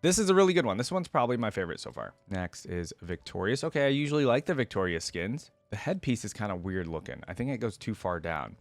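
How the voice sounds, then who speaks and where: A man's voice: fairly low pitch, sing-song, quick pace, few pauses, no room sound, faint music behind. A man giving casual, informal reaction commentary, talking in a slangy, enthusiastic way as the host.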